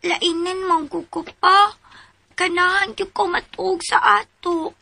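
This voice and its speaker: A woman with a Filipino accent playing a sweet, excitable little girl in a radio drama. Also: very high voice, slow pace, vocal fry, no echo, full character voice. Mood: eager, sweet. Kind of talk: Philippine radio drama acting.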